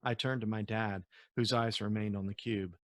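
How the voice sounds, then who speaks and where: A man's voice: fairly low pitch, steady tone, moderate pace, fairly bright tone, no echo. Man reading a story aloud, dry sound. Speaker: author reading from own novel. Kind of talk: storybook read-aloud narration.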